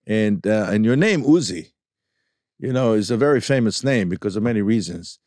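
A man with a fairly low voice hosts a podcast interview. He talks conversationally with his guest. The recording is dry, free of room echo. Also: animated, moderate pace, darkish tone. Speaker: podcast host, former diplomat.

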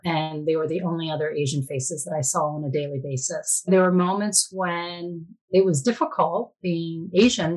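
A woman's voice: sits low, darkish tone, breathy edge, rough recording. An American woman in her fifties, reflectively telling personal stories as an interview guest. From born in Korea, raised in the United States. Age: 50s. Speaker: interview guest, Korean adoptee.

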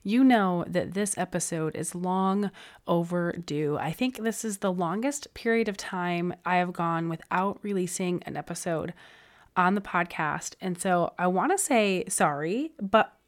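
A woman hosting a podcast, her voice hoarse, crackly and a bit stuffy, talking in an upbeat, chatty way. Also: fairly low pitch, a little slow. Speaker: podcast host. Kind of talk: podcast intro and interview.

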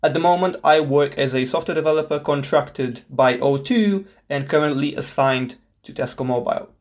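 Young male host from Portugal, slightly higher voice, upbeat and sincere. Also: moderate pace. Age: 20s. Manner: enthusiastic, earnest. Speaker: podcast host. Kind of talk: scripted podcast introduction.

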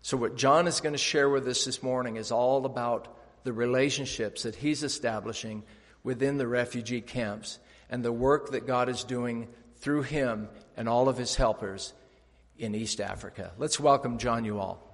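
A man hosting at church, introducing a guest speaker with lively storytelling. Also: mid-range voice, moderate pace, long reverb tail. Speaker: church host.

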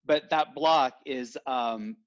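A man from West Texas, a guest in a casual chat, talking in a warm, rambling way with many restarts. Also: mid-range voice, slow pace, lo-fi sound.

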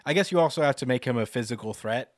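A man's voice: medium pitch, quick pace, dry recording, clean studio sound. A man in casual analytical discussion as a podcast co-host, speaking thoughtfully and searching for words as he goes.

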